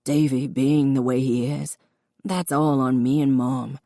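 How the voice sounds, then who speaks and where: A woman voicing a gentle, remorseful older father in an audiobook, quiet and earnest. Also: very deep voice, steady tone, unhurried, dry recording, studio quality, voice pushed down. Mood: apologetic. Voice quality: reedy, quiet. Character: remorseful, loving father of grown children, older man. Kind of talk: audiobook character dialogue.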